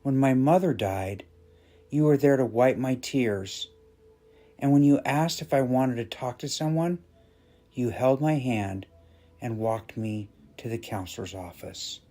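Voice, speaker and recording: A man voicing a shy, grateful little schoolboy in a storybook reading, speaking quietly and sincerely. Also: medium pitch, measured pace, soft background music, close to an everyday voice.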